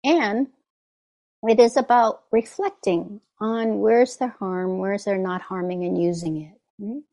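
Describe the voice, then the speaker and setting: Woman with a gravelly voice giving a talk. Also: medium pitch, a little slow, warm tone. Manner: reflective, often asks "right?". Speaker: meditation teacher. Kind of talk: dharma talk.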